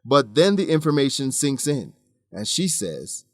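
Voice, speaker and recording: A man hosting an English lesson as scripted narration, measured, instructive and clearly enunciated. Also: mid-range voice, big pitch swings, dry recording, soft background music. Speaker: host and English teacher.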